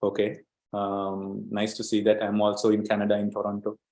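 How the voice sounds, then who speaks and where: A man's voice: on the low side, monotone, moderate pace, a little mellow, roomy sound. A man from Sri Lanka presenting an online training webinar as an agile coach, explaining steadily with many fillers and repeated words.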